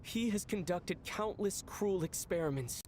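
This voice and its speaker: A woman playing a grave young traveler in a video game, questioning in a deliberate, accusing manner. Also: medium pitch, animated, warm tone, slight background noise, close to an everyday voice. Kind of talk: video game character acting.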